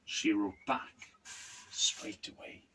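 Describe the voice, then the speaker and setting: A man's voice: slow pace, sharp tone, whispered. A middle-aged man reading a children's picture book aloud expressively, as a teacher would. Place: small wooden room, indoors.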